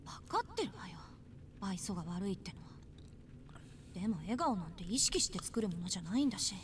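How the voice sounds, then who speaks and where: A woman's voice: mid-range voice, very animated, racing, far from the mic, a little hiss or hum, natural voice. An actress playing a blunt, proud, irritable teenage schoolgirl in a Japanese visual novel. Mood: irritated.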